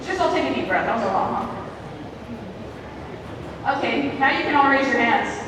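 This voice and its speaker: A high-pitched woman speaks as an aquarium educator, addressing her audience directly in a conversational, interactive way. Her amplified voice rings with long, heavy echo in a large hall and sounds distant, with clear noise around it. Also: expressive, moderate pace. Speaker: aquarium educator and show presenter. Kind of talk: live educational presentation to an audience. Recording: echoey hall, far from mic, background noise.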